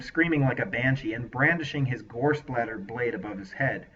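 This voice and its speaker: Man, author narrating own story, bright forceful voice, a bit off-mic, lo-fi. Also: mid-range voice, far from the mic. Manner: relaxed, hesitant chat with fillers after the reading. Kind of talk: audiobook narration.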